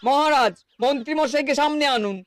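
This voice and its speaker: A man's voice: very high-pitched, fairly quick, noisy background. A man playing an earnest, aggrieved village spokesman in a cartoon, plaintive and insistent. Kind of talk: Bengali cartoon dubbing.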